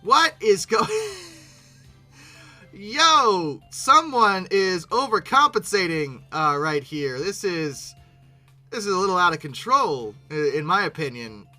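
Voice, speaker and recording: A bright, forceful voice with very lively, swinging intonation, hosting a casual reaction video. The speaker is bemused and humorous, stumbling and repeating words while exclaiming, with music in the background. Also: medium pitch, takes it slowly. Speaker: YouTube host.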